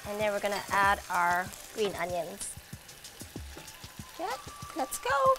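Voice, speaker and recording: A woman's voice: mid-range voice, huge pitch swings, slow. A woman in her thirties hosting a cooking demonstration in a kitchen, talking in an upbeat, chatty, encouraging way. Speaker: cooking show host and restaurant owner. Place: home kitchen, indoors. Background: background music and sizzling pan.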